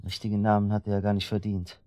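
An actor playing an evasive, irritable and weary husband in a radio drama. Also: male voice, fairly low pitch, completely flat delivery, quick pace, crowd noise, natural voice. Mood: irritated. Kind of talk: German radio drama acting.

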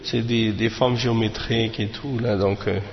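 A man of average pitch gives an informal religious lesson, speaking hesitantly and often stopping to restart a sentence. The recording is dull and lo-fi, with a long reverb that makes the room sound large and echoey. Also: fairly even intonation, normal speed. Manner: hesitant, with many restarts and Arabic fillers. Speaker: religious teacher.

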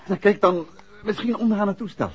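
A man's voice: high voice, fairly quick, a little mellow, natural voice. An actor in a 1960s Dutch radio play playing a worried, tender husband and amateur detective who speaks affectionately to his wife. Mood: urgent, anxious. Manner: affectionate, calls his wife pet names. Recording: dull, muffled old radio recording.

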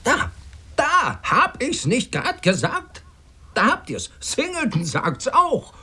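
An actor playing a grumbling, pious ship's cook in a radio drama, scolding and preaching with a husky, creaky voice. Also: male voice, very high-pitched, huge pitch swings, slow, pushed and bright, heavily acted. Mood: vindicated. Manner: scolding, preachy, repeats himself.

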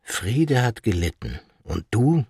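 A man's voice: on the low side, sing-song, slow pace, a little mellow, breathy edge, no room sound, clean studio sound. A man giving a scripted literary narration in the second person, as in an audiobook.

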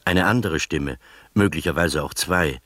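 Radio drama narrator: earnest, slightly anxious gentleman, low-pitched, articulate, dry sound. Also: measured pace, dry recording, heavily acted. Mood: measured storytelling. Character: earnest, anxious male friend narrating a detective tale.

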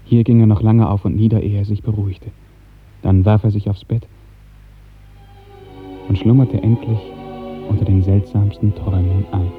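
A man narrating a literary radio drama in 1950s style, with measured, expressive storytelling. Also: fairly low pitch, over loud music. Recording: muffled, tinny 1950s radio recording.

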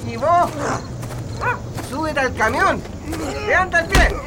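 Over loud engine noise, a brutal Chilean soldier in a radio drama. His voice is strained very high as he barks short, bullying orders at prisoners. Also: expressive, slow pace, full character voice. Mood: furious, abusive. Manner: shouted, curt orders. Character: brutal barking military guard bullying prisoners.

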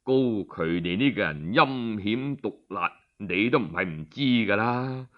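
A man's voice: on the low side, expressive, unhurried, lo-fi sound, pitched below the performer's own voice. A man with a Cantonese accent playing a blunt old minister in a story, frank and full of questions. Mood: puzzled.

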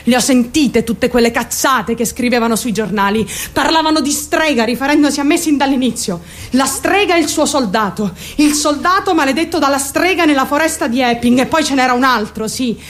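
A woman's voice: sits high, fairly quick. An actress playing a troubled, defiant teenage girl who confides her story straight to the listener in a theatrical radio monologue. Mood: indignant, accusing. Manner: confiding, addressing listeners directly, mimicking other voices.

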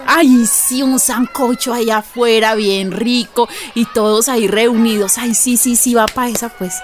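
An actress playing a lively, encouraging neighbourhood friend in a radio drama, talking colloquially with a Colombian accent. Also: female voice, fairly high pitch, measured pace, lightly acted. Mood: upbeat. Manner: colloquial, exclamatory. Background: faint background music.

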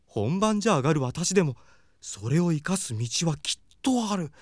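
An actor playing a flamboyant, effeminate middle-aged male teacher of performing arts in an audio drama, with campy feminine speech and dramatic pauses. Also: fairly high pitch, big pitch swings, no room sound, clean studio sound. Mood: triumphant. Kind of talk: anime audio drama acting.

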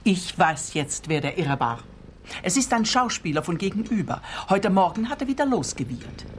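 A woman's voice: lowish voice, very animated, moderate pace, a little hiss or hum. An actress playing a nosy, self-important, impatient woman in a radio play, speaking in an affected, emphatic way. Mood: triumphant. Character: nosy, self-important, impatient female client with quaint diction.

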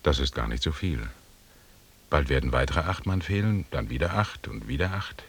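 An actor playing an authoritative expedition leader in a German radio drama, speaking in a formal, precise, lecturing manner with a husky voice. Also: male voice, a bass voice, expressive, moderate pace, exaggerated voice. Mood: dry, reckoning. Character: authoritative British expedition leader, pedantic and commanding.

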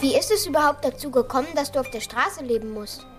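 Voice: high-pitched, animated, fast. An actor in a children's radio play voicing a well-bred, clever merchant's son who explains things carefully and eagerly. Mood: thoughtful. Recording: studio radio play with music underneath.

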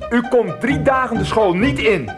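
A man's voice: very high-pitched, expressive, normal speed, over loud music. A man acting a stern, pompous school principal in a radio soap, speaking formally. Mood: stern, outraged.

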